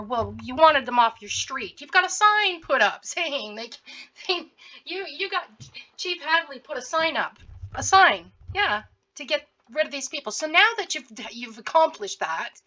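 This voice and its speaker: A woman's voice: sits high, pushed and bright, dull audio. A woman with a sore, scratchy throat, speaking straight at someone in a confrontational, repetitive way as a commentary host. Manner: confrontational, addressing someone directly, repeats herself. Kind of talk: direct-to-camera commentary.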